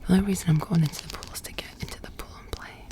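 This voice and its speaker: Whispering American woman, low and hushed. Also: fairly even intonation, rapid-fire. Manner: casual, chatty, informal with swearing. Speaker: ASMR creator. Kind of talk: soft-spoken whispered ASMR roleplay.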